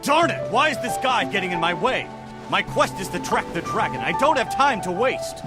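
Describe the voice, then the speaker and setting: An irritated adventurer with a high, very lively voice. He declaims his grievances in theatrical game style, self-righteous and exasperated, with music behind. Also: moderate pace. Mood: irritated, indignant. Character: hot-headed, irritated young male adventurer, self-righteous.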